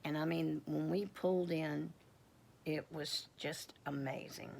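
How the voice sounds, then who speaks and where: A middle-aged woman with a croaky voice, giving a casual personal testimony in a rambling, halting way with many fillers and restarts. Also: low voice, steady tone, a little mellow. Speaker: homestead vlogger.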